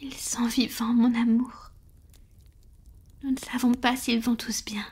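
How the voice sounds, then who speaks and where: A woman acts out a centuries-old female vampire in love, in an ASMR roleplay. Her voice is very breathy and whispered, sitting a little high. She speaks slowly and intimately, with a vulnerable, hesitant tenderness. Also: flat delivery, a little mellow, full character voice. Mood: hesitant, confessing.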